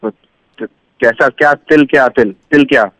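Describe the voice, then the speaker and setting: A man's voice: mid-range voice, measured pace, fairly bright tone, dry recording. A man in casual phone talk, protesting and repeating himself as a prank call victim. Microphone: telephone. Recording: dull lo-fi phone line.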